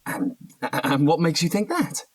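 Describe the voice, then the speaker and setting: Husky, defensive cheating husband. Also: male voice, a little high, sing-song, unhurried, no echo, recorded from a distance, full character voice. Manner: defensive, protesting, stammering when cornered. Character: defensive, evasive cheating husband under questioning. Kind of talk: naturalistic audio drama dialogue.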